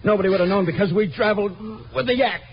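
An actor playing a jealous, threatening, cowardly husband and killer in a 1950s radio drama, agitated and melodramatic. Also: male voice, high voice, racing, a crowd in the background. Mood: bitter, menacing. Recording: muffled old radio recording.

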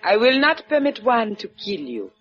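Coldly gracious villainess, lively melodic delivery. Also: female voice, a little high, moderate pace. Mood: gracious menace. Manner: silky, formal menace. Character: coldly gracious, murderous revolutionary widow. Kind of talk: 1950s radio adventure acting. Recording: dull 1950s radio recording, faint music.

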